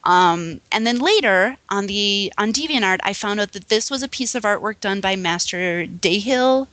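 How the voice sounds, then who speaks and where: Bright-voiced chatty woman collector. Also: medium pitch, expressive, moderate pace, dry recording. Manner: chatty and giggly, many fillers. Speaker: podcast co-host showing her collection. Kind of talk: casual chat.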